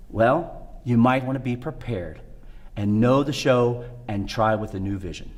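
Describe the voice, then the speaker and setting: A man's voice: medium pitch, measured pace. A man presenting scripted instructional tips on acting.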